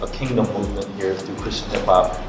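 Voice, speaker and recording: A man with a fairly low voice hosts a casual panel, asking questions. He sounds a little away from the mic in an echoey room, and music plays clearly behind him. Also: steady tone, fast, recorded from a distance.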